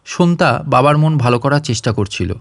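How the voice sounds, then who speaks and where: A man's voice: medium pitch, animated, moderate pace, dry recording. A man reading a story aloud as a dramatic scripted audiobook narration.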